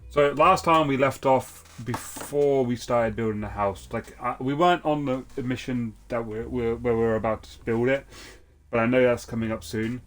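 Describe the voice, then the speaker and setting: A man's voice: medium pitch, steady tone, normal speed, soft background music. A young man with a cold chatting loosely while he plays a video game, rambling with lots of fillers and restarts. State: has a cold. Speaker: gaming streamer. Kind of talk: casual let's-play chat.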